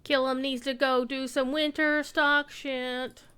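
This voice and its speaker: A woman game streamer with a bright, clear voice that sits a little high. She chats casually as she plays, thinking aloud and reading out bits of quest text. Soft game music runs underneath. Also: level tone, takes it slowly. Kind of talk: casual gameplay commentary. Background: faint game music.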